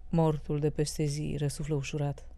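A woman's voice: deep voice, completely flat delivery, dark tone. A woman giving a recitation of poetry as a reader.

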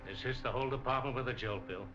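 A dull old film soundtrack carries a sober police chief, played by a man. He is middle-aged, with a mid-pitched voice and measured, formal delivery in 1940s style. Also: over music, lightly acted. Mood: sober, supportive.

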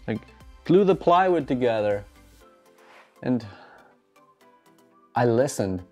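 A man's voice: mid-range voice, a little mellow. A man in his thirties giving casual instructions to camera, with jokey, tongue-in-cheek asides. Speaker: host of a home gym DIY channel. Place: garage home gym, indoors. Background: music.

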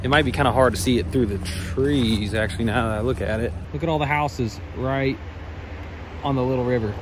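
A man's voice: medium pitch, fairly quick. A young man talking casually and upbeat as he vlogs a road trip from his van and the outdoors, filmed on a phone held at arm's length. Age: young adult. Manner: laid-back, upbeat, says "freaking sick" a lot. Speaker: vlogger. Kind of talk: casual travel vlog. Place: in a van and outdoors on mountain roads. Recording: outdoor noise on a phone mic.

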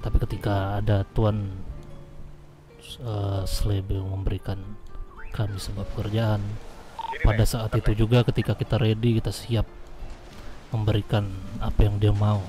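Low-voiced guy doing game roleplay, super polite and a bit hesitant, music playing behind him. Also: completely flat delivery, measured pace, pauses often. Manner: deferential, hesitant, formal address. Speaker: roleplay gamer playing a gang leader. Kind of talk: improvised in-character game roleplay, formal negotiation.

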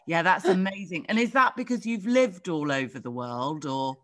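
A woman's voice: on the low side, lively intonation, moderate pace. Effusive British woman hosting an interview. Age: middle-aged. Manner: effusive, exclaiming. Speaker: interview host. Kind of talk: casual interview chat. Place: living room at home. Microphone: video call.

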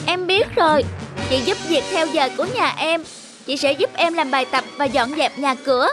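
A sulky, lonely little cartoon boy, with a very high, thin voice. He talks back stubbornly over soft background music. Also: moderate pace. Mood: flat. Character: sulky lonely young schoolboy. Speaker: cartoon character. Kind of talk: cartoon voice acting.

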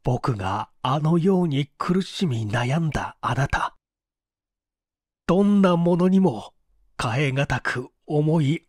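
A man acts out an anguished male secretary in an audiobook drama. He is bitter and dramatic, with slow delivery and wide swings of pitch. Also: breathy edge, no echo, studio quality. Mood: bitter resentment.

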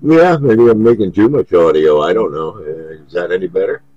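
A man's voice: sits low, expressive, moderate pace, muffled recording, heavy background noise. A man chatting casually over CB radio in an easygoing, folksy, hesitant way. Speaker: CB radio operator. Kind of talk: casual radio contact chat.

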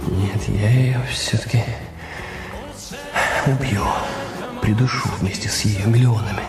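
An actor in a radio drama playing a resentful husband, defensive and sardonic, given to brooding. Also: male voice, fairly low pitch, fairly even intonation, unhurried, darkish tone, faint music behind. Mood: bitter brooding. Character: bitter resentful husband, a French masseur.

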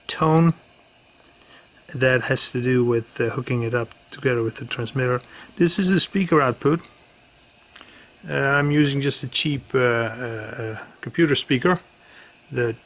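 A man giving a casual, unscripted demonstration in a matter-of-fact way, pausing mid-sentence as he goes. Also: mid-range voice. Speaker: amateur radio hobbyist presenter. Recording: loud background noise.

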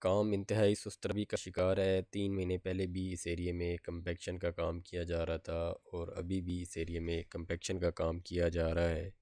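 A man's voice: sits low, completely flat delivery, normal speed, hardly any pauses, over music. A man with a Pakistani accent presenting a construction site update in a factual, matter-of-fact way. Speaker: video presenter.

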